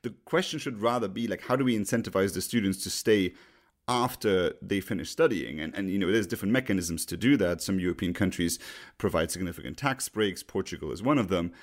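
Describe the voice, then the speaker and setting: Deep-voiced man, halting podcast talk. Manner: halting, many ums and uhs, restarts. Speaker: podcast host. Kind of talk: casual discussion.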